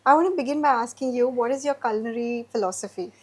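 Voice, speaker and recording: A woman's voice: on the high side, fast talker, darkish tone, slightly rough. A woman hosting a podcast interview, encouraging her guest with short replies. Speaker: podcast host.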